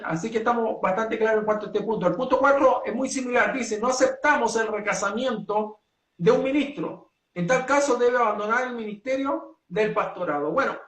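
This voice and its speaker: A man from Chile, a pastor, setting out his church's principles in an earnest, measured way with many hesitations. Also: sits high, fairly bright tone, reverberant, recorded from a distance, poor audio. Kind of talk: doctrinal statement in a two-way talk.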